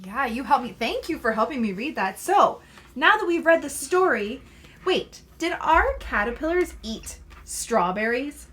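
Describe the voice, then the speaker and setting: A woman reading a picture book aloud as a mother to her young child, expressive and pausing to prompt. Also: sits high, husky.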